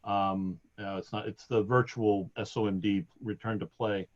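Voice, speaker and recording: A man on a webinar call answering questions, hesitant and self-deprecating, with a loud voice that projects. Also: fairly low pitch, steady tone, moderate pace, dull audio. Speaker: sports department staff.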